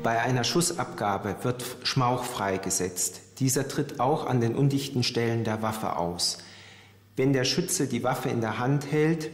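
Male forensic expert, formal and precise, medium pitch, long room reverb. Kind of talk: technical explanation in an interview.

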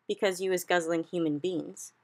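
A woman's voice: on the low side, steady tone, moderate pace. A woman voicing a big kindly male giant who talks in cheerful, mangled grammar. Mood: earnest. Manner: folksy, ungrammatical, exclamatory.

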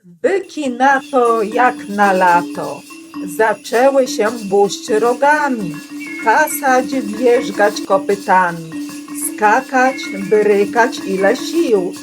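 A woman's voice: a little high, extremely slow, music in the background, poor audio. A woman storyteller narrating a rhymed children's storybook.

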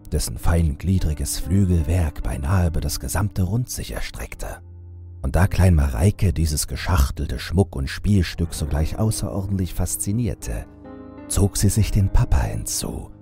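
A man narrating a horror audiobook in a slow, measured, ornate storytelling manner. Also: sits low, creaky, warm tone.

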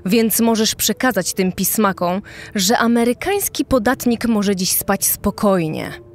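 A woman's voice: mid-range voice, lively intonation, unhurried, soft background music. A woman playing an urgent, businesslike officer in a true-crime podcast drama, speaking matter-of-factly.

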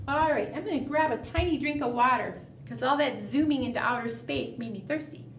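A middle-aged woman leading a preschool storytime, animated and sing-song, coaxing small children with questions. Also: sits high, fairly quick. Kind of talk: storytime read-aloud and chat addressed to small children. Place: small indoor room, seated at a table. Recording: roomy, distant mic, faint room noise.

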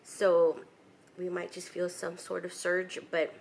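A woman's voice: lowish voice, slow pace, vocal fry. Chatty middle-aged woman vlogging at home. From South Texas. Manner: chatty, relaxed, many ums and likes. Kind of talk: casual home vlog chat. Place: home living room and kitchen, indoors. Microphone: handheld camera close to face.

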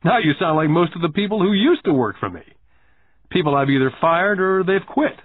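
A man voicing a stern, calm businessman mentor who lectures pointedly and in measured phrases in an audiobook. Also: fairly high pitch, no echo, voice pushed up. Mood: wry. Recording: muffled, phone-like narrow band.

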